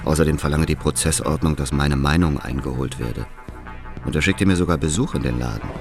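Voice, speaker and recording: An actor playing an embittered, vengeful middle-aged widower in a radio drama, terse and brooding. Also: male voice, a bass voice, brisk, music in the background. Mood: brooding narration. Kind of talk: radio drama acting and first-person narration.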